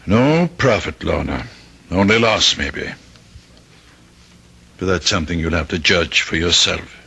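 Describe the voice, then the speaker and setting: An actor playing a stern, principled father in a radio drama, measured and moralizing. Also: deep voice, lively intonation, creaky, sharp tone, breathy edge, heavily acted. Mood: grave, ironic. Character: stern, high-principled father, quietly reproachful and unbending. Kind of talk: old-time radio drama acting.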